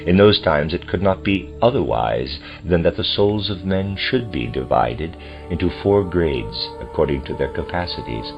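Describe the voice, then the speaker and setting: Male reader for a formal lecture text, low-pitched, deliberate delivery, dull lo-fi sound over music. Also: lively intonation, creaky. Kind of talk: audiobook-style reading of a translated lecture. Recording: dull lo-fi recording with music behind.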